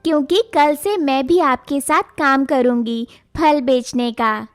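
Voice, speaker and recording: A woman voicing a devoted young wife who speaks softly and caringly. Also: high-pitched, a little slow, faint music behind. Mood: eager. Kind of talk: cartoon voice acting.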